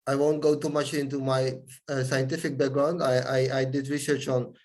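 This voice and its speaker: A man's voice: medium pitch, little pitch movement, normal speed, darkish tone. A man with a Dutch accent, a scientist guest answering interview questions earnestly, with many hesitations and restarts. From Suriname, raised in the Netherlands. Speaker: guest, scientist and yoga teacher.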